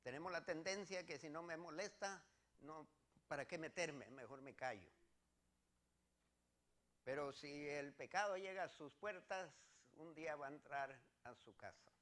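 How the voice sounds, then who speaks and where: A man's voice: on the high side, a little slow, a bit bright. A man with a Mexican accent preaching as a pastor, teaching scripture step by step to a congregation with emphatic, repeated phrases.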